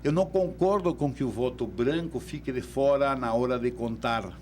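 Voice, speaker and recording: A man's voice: mid-range voice, moderate pace, a little mellow, a little hiss or hum. A man from Uruguay with a Uruguayan Spanish accent, a law professor explaining things as a guest in a radio studio, often repeating words while he thinks.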